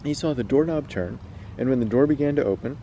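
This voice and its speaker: A man's voice: medium pitch, quick pace, mellow, lo-fi sound, a little hiss or hum. A man hosting a casual read-aloud, moving between storytelling and chat. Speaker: host reading stories aloud.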